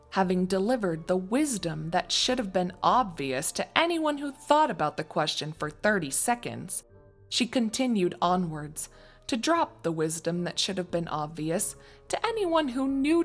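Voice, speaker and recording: Lowish, expressive woman narrating a story. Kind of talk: scripted fan fiction narration.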